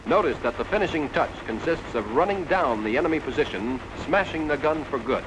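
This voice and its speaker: A man's voice: on the high side, lively intonation, a little fast, noisy background. An American man narrating a 1940s army instructional film, directly instructing the listener in a scripted style on a vintage soundtrack. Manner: direct, instructive, addressing the trainee as "you".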